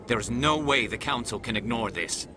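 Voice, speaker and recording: A man's voice: mid-range voice, moderate pace, natural voice. A man voicing a determined, earnest alien police investigator in a video game, speaking intently over ambient noise. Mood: intent, resolute.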